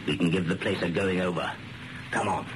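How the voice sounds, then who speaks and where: An actor playing a curt, commanding and dryly wry Major in a radio thriller. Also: male voice, deep voice, fairly even intonation, a little fast, lightly acted. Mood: cool, wry. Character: brisk, bossy male Major running covert break-ins. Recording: noisy old radio drama recording.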